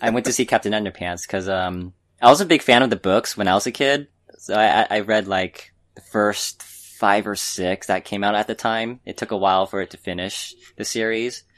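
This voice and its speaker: A man's voice: lowish voice, moderate pace, fairly bright tone. A man co-hosting a podcast, talking casually and hesitantly as he gives informal opinions in a meandering way. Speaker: podcast co-host. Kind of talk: casual chat, informal film review.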